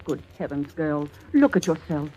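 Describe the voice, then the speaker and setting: An actress playing a blunt, protective older aunt in an old radio drama, forthright and disapproving. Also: female voice, deep voice, big pitch swings, normal speed, dark tone, highs cut off. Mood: scolding. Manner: forthright, urgent, scolding. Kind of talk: 1950s radio drama acting. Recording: old radio recording, faint music behind.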